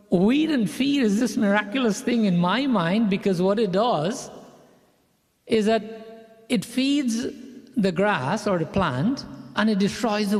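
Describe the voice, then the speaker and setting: A man's voice: high voice, a little mellow, big-room sound. A man from Guyana leading a satsang, preaching in a conversational way with personal stories and frequent restarts. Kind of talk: sermon.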